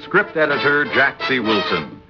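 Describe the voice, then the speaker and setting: A man's voice: mid-range voice, sing-song, unhurried. A man announcing in the formal, scripted manner of a 1960s radio drama announcer. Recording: muffled old radio, music behind.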